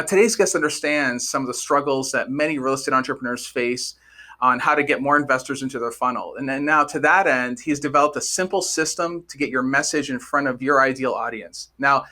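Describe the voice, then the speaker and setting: A man's voice: medium pitch, bright, forceful tone. A man hosting a podcast, upbeat and chatty, often stumbling and repeating words as he asks questions. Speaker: podcast host.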